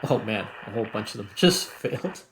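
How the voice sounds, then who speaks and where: A man's voice: fairly low pitch, animated, a little fast, noisy background. A man giving casual, unscripted gameplay commentary, muttering step by step as he thinks aloud. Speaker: host playing and narrating a video game.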